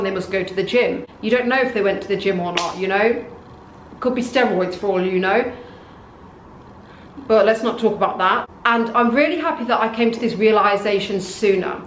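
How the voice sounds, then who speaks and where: A young woman from North Africa talks through her opinions in a relaxed, casual monologue. Her delivery stays flat and monotone, in a voice she describes as deep. The room rings with echo, and a little noise sits underneath. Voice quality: says she has a deep voice. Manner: says her voice and face are monotone. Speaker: vlogger. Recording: echoey room.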